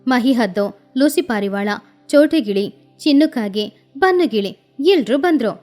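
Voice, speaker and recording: A woman's voice: a little high, expressive, normal speed, a little mellow, soft background music. A woman narrating a scripted children's story as the storyteller.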